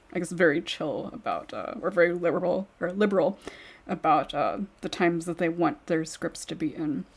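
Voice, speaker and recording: A woman with a slightly low voice hosts a relaxed panel chat. She talks informally and a little hesitantly, feeling her way through each point. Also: slightly rough. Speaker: podcast host. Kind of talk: casual panel discussion.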